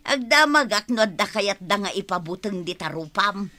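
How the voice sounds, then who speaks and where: A woman's voice: medium pitch, lively intonation, moderate pace, sharp tone. A woman playing a nagging, money-minded village wife in an audio drama, with an Ilocano accent. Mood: bossy, insistent. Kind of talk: Filipino audio drama acting.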